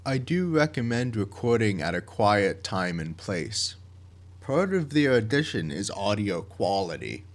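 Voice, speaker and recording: A man reading scripted instructions in a methodical, measured and plainly worded way, like a project director explaining a process. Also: on the low side, sing-song.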